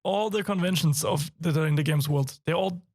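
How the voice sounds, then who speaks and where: German guy co-hosting a games podcast, raspy and hoarse, higher voice, laughs a lot. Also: brisk. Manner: laughs often, excitable, swears casually. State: hoarse from a cold. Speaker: podcast co-host. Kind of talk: casual chat.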